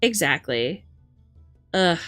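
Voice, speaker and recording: A woman's voice: fairly low pitch, big pitch swings, slow, a bit bright, soft background music. A woman hosting a livestream, talking casually and emphatically with plenty of swearing as she rants and chats with commenters. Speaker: livestream host.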